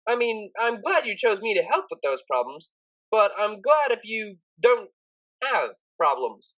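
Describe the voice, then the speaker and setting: A woman voicing an energetic, kindly male pony tutor with a cheerful, awkward, rambling manner in an audiobook. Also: mid-range voice, a little slow, voice pushed up, poor audio. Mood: cheerful, flustered.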